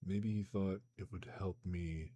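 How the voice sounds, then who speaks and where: A man with a slightly hoarse voice reading a novel aloud on a live stream, slipping into casual slangy remarks between passages. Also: sits low, unhurried. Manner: reads steadily, breaks into excited slangy chat.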